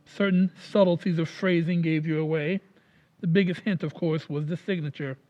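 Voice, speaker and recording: Man acting a high-pitched, pompous school principal. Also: fairly even intonation, darkish tone, dull audio, lightly acted. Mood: pompous, pleased. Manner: pompous, formal, deliberate diction. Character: pompous, formal male school principal, stiffly self-important. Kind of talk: old-time radio comedy acting.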